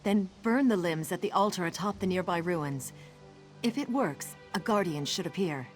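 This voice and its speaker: A woman's voice: lowish voice, normal speed, faint music behind, natural voice. A woman playing an earnest, solemn and worried nature priestess in a fantasy video game. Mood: worried, urgent.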